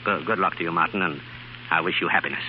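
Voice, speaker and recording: A man's voice: deep voice, quick pace, lightly acted. A man acting an earnest, fatherly scientist and professor in a 1930s radio drama, with formal, theatrical phrasing. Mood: resigned, kindly. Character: older male research scientist and professor, earnest, fatherly, scornful of practice. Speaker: radio actor. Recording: muffled, hissy 1930s radio broadcast.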